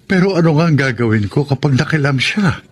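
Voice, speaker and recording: A man's voice: a little high, expressive, fairly quick, slight background noise, lightly acted. An actor playing an anxious but resolved older man in love in a Filipino radio drama. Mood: troubled.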